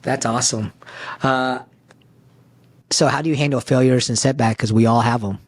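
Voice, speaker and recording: A man's voice: mid-range voice, fairly even intonation, normal speed. A man hosting an interview, asking questions in an upbeat way and often repeating words as he starts a phrase. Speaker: podcast host, interviewer.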